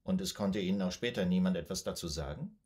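Radio drama: actor as male analyst, lowish voice, formal, composed, methodically probing. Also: darkish tone, close to an everyday voice. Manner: formal, measured, clinical. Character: composed, probing male psychoanalyst questioning a patient. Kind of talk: German radio drama acting.

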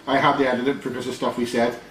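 A man's voice: mid-range voice, a bit bright. A man in his thirties hosting a snack taste test with friends, joking, rambling and laughing often, recorded in a small room lined with bookshelves. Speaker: host. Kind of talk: casual chat. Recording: echoey room, faint hiss.

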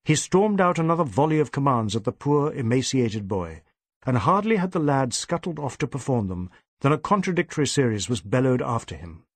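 A male narrator reads scripted literary fiction. His voice sits at a middle pitch and his storytelling is expressive and measured. The recording is very dry. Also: dry recording. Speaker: audiobook narrator.

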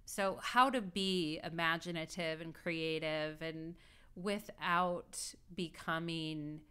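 Rambling, reflective woman, bright clear voice. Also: sits low, steady tone, slow pace. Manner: rambling and reflective, full of "like" and "you know". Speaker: astrologer and tarot reader. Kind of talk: casual video talk. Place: indoor room at home.

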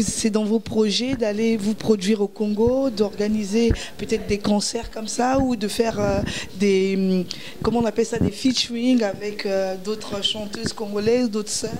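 A woman interviewer asking questions in a formal, slightly hesitant way, speaking into a handheld mic on a small indoor set. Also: mid-range voice.

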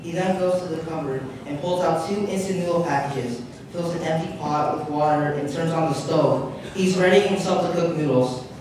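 A man's voice: a little high, moderate pace. Young man narrating in echoey hall. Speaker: narrator reading stage directions. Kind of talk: scripted narration, read aloud. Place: large public hall, on stage. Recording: echoey hall, distant microphone, clear noise.

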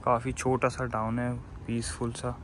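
A man's voice: medium pitch, normal speed. A man casually narrating a travel vlog outdoors, chatting directly to his viewers and mixing in English phrases. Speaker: travel vlogger. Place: outdoors at hot springs and in a small town. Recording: loud outdoor noise, flat tone.

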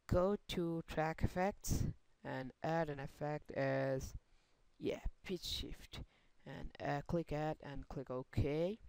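Male tutorial host, gravelly, clean resonant tone, halting delivery, software walkthrough. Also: on the high side, dry recording. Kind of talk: screen-recorded software tutorial.

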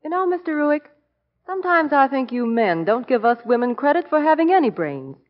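A woman's voice: high-pitched, very animated, fairly quick. A woman playing a confident, pert American homemaker in a 1940s radio advertisement. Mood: pert, enthusiastic. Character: confident, pert female homemaker praising products. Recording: dull, reverberant vintage radio recording.

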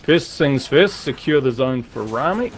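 Mid-pitched man in casual gaming chat. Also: lively intonation, unhurried, a little hiss or hum. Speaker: gamer in a co-op session.

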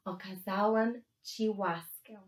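A woman in her thirties reciting a verse in short, deliberate phrases to teach a child. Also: mid-range voice, steady tone. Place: living room couch indoors. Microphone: camera a couple of metres away. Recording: roomy sound, away from the microphone.

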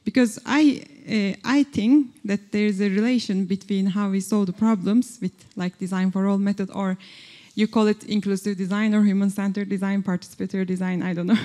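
A woman from Turkey asks a question from the audience. Her voice is mellow, and she talks hesitantly with many fillers. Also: medium pitch, steady tone, brisk. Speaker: audience member asking a question.

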